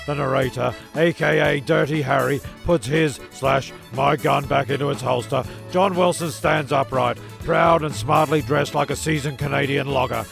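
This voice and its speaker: A man's voice: fairly high pitch, gravelly, a bit bright. A man playing a flustered, sweary narrator in a comedy audio drama, stammering and repeating himself. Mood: narrating. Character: flustered, rambling, sweary male narrator, easily exasperated.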